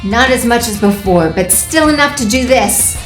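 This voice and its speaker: A woman's voice: fairly high pitch, expressive, moderate pace, recorded from a distance, natural voice. A woman playing a sardonic, vengeful sorceress villain in an audio drama, theatrical and taunting. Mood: menacing. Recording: roomy echo, music underneath.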